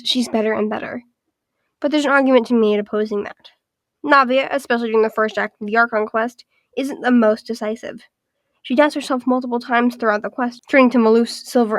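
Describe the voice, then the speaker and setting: Woman video essayist, higher voice, raspy and stuffed-up from illness, methodical scripted read, dry close sound. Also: dry recording. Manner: structured, list-by-list evaluation.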